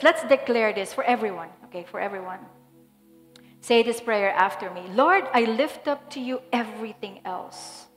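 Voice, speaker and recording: A woman pastor in her thirties from the Philippines prays over a congregation, with a voice set slightly high. She speaks slowly and deliberately, declaring each phrase with fervour. Her voice rings through a large echoing hall with faint music underneath. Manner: fervent, declaring prayer then softer guiding. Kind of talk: sermon prayer. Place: large church stage. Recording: echoey hall with soft music.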